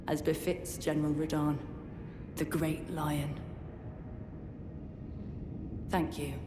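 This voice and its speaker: An actress playing a proud, loyal young female warrior in a fantasy game, speaking in a formal, solemn, firm manner. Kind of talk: dramatic fantasy video game dialogue. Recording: echoey hall, rumbling background noise.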